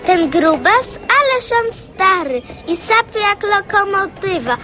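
A woman's voice: extremely high pitch, big pitch swings, measured pace, pushed and bright, soft background music, full character voice. An actress playing a curious, eager little boy in a radio play. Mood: excited.

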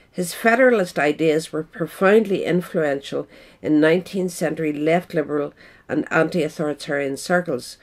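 A woman's voice: low voice, darkish tone. A woman reading scripted narration, as in an audio essay. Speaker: reader.

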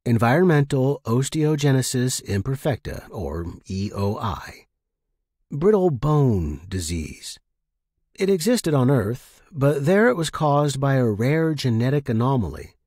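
A man reading first-person fiction as a narrator, in an expressive, dramatic manner. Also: mid-range voice, no room sound, studio quality.

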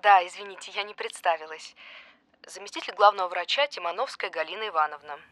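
A woman's voice: on the low side, fast, a bit bright, dry recording, close to an everyday voice. An actress playing a pushy, desperate female journalist in an audio drama, politely insistent in her questioning. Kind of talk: naturalistic audio drama acting.

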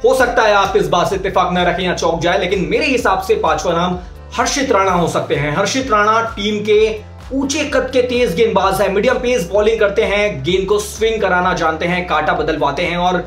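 A man in his thirties presenting a cricket news video to camera in a small indoor room, with an energetic, emphatic delivery. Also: fairly quick, roomy sound, faint music behind. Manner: energetic, emphatic delivery with rising and falling pitch. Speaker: sports reporter.

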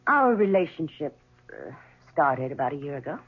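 Husky, guarded mistress. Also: female voice, low-pitched, big pitch swings, moderate pace, exaggerated voice. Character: poised, guarded mistress of a murdered man. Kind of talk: 1970s radio drama acting. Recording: tinny 1970s radio broadcast.

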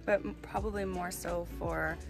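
Woman vlogger with creaky voice. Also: lowish voice, fairly even intonation, normal speed, over music. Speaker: family vlogger. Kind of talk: casual chat to camera. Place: small family home and yard outdoors. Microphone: camera or phone at arm's length.

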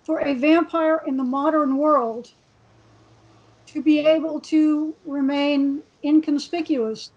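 A woman on an online panel, thinking aloud in slow, drawn-out phrases. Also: sits high, fairly even intonation, darkish tone. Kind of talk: casual panel discussion. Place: home room. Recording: muffled video call audio.

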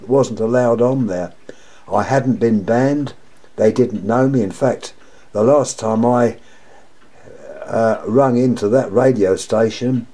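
An older man with a sore, croaky throat, talking casually and in a rambling, repetitive way while showing his lamp collection at home. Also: on the low side, dark tone. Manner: rambling, repeats himself, wry complaints. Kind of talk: casual show-and-tell monologue. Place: indoors at home, table of lamps.

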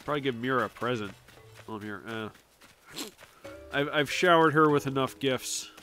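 A man's voice: mid-range voice, moderate pace, bright, forceful tone, soft background music. Chatty sniffly man streaming a game. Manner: chatty, jokey, talks to himself and game characters. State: sniffly from allergies. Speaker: gaming streamer. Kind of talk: casual gameplay commentary.

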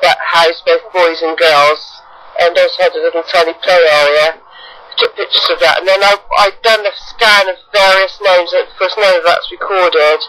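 A woman's voice: fairly even intonation, normal speed, fairly bright tone. A middle-aged English woman thinking aloud in casual, rambling field notes, recorded on a handheld tape recorder. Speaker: family history researcher. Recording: noisy low-fidelity handheld cassette recorder.

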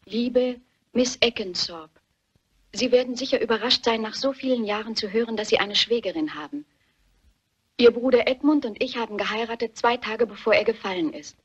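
An earnest, anxious daughter with secrets, in a radio mystery. Her voice is slightly high and husky, with a muffled, dull sound. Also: moderate pace. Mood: measured reading. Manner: earnest, dramatic. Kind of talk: German radio mystery play acting.

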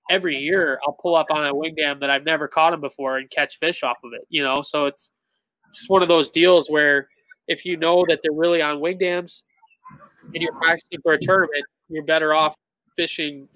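A man in his thirties, a tournament bass angler, explaining things in a relaxed way full of "like" fillers over a video call. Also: moderate pace, no room sound, low-quality recording. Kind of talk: casual interview talk. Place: indoor room with shelves of tackle. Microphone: webcam on a video call.

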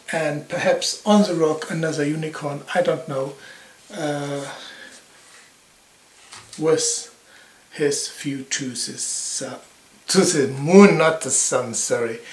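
A man in his forties presenting his paintings in a casual vlog, speaking in a halting, unhurried way. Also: fairly high pitch. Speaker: hobby painter presenting own artwork. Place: indoor room at home. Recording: roomy echo with music behind. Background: music.

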